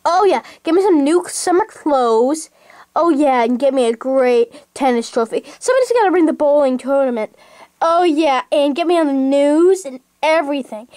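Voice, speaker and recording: A woman's voice: high-pitched, animated, normal speed, darkish tone, no room sound. A woman playing a vain, self-important man who makes pompous, rambling demands in an amateur comic skit. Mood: bossy, self-satisfied.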